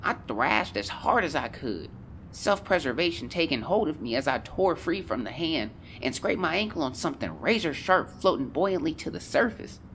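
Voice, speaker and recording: A man's voice: on the high side, very animated, moderate pace, a bit bright, a little hiss or hum, rough recording. A man playing a haunted teenage boy explorer, solemnly and theatrically dictating a fearful journal in an audio drama. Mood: tense, haunted. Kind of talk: dramatic first-person journal narration.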